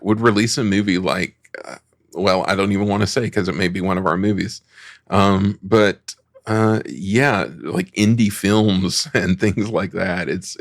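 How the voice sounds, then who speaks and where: A man chatting casually as a podcast panelist, haltingly thinking aloud. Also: low voice, gravelly, no room sound, studio quality.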